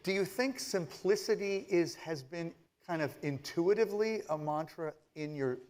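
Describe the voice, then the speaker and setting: A man hosting a conference stage interview, introducing his guest warmly and guiding the talk with long questions. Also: fairly high pitch, moderate pace, slight background noise. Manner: upbeat, effusive, guiding questions. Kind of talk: onstage interview. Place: conference stage with a live audience.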